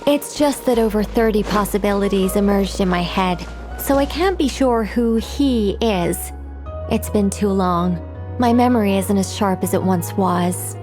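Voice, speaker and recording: Woman acting a composed, knowing woman, measured delivery. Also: mid-range voice, over music, natural voice. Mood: thoughtful. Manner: measured, explanatory, matter-of-fact. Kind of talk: anime game dub acting.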